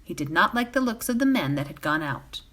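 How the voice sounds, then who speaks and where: A woman reading a bedtime fantasy novel aloud as a volunteer, in an expressive storytelling style. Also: fairly low pitch, brisk.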